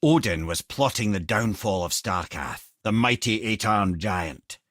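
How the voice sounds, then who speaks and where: A man's voice: lowish voice, expressive, a little slow, fairly bright tone, no room sound, clean studio sound. An actor voicing a wise, wry older Scottish man, a talking severed head in a video game, with a theatrical, folksy manner. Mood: storytelling. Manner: wry, theatrical, folksy, addresses listeners as brother and lad. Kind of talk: expressive video game character acting, storytelling and banter.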